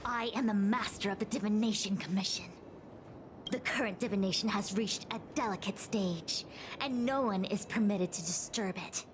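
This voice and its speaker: A woman's voice: medium pitch, moderate pace, background noise, lightly acted. A woman playing a stern, imperious master diviner in a video game, speaking formally and with command. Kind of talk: video game cutscene acting.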